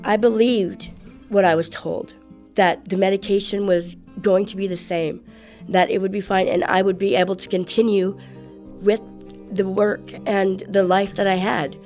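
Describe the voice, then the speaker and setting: A woman speaking earnestly and outspokenly as an interviewee, with a loud voice. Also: medium pitch, steady tone, moderate pace, soft background music. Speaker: activist interviewee. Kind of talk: casual interview.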